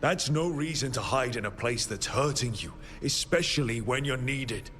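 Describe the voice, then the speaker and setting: An actor playing a steadfast, reassuring heroic companion and leader in a video game, earnest and persuasive. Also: male voice, medium pitch, normal speed, fairly bright tone, lightly acted. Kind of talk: dramatic video game acting. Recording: music behind the voice.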